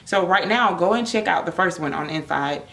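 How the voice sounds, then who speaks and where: A woman's voice: lowish voice, moderate pace, vocal fry, fairly bright tone, a little hiss or hum. A woman in her thirties with an American accent, chatting casually as a vlog co-host reviewing in a room. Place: indoor room against a plain wall.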